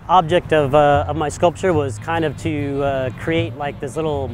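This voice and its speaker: Brief: halting male artist, higher voice, music bed. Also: steady tone. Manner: hesitant, many fillers. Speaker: metal sculptor. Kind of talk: on-camera interview. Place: outdoors in a city park.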